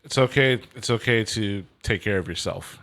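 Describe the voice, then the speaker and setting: A man's voice: fairly low pitch, normal speed, a bit bright. A man who hosts a sports radio show, speaking as a guest on a podcast in an easygoing, plain-spoken way. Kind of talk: casual podcast chat.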